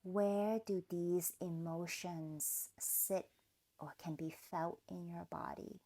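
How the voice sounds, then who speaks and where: A woman guides a meditation very slowly, with a lot of creak in her voice. Her pitch sits on the low side for a woman. She speaks gently and deliberately, leaving space between short phrases. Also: steady tone. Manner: soothing, gentle, deliberate, with pauses. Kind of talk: guided meditation, scripted narration.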